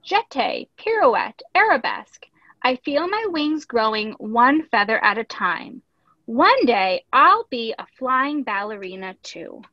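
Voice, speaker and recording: A woman's voice: a little high, sing-song, measured pace. A woman reading a picture book aloud as a teacher, expressively, with instructive asides. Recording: echoey room, dull lo-fi audio.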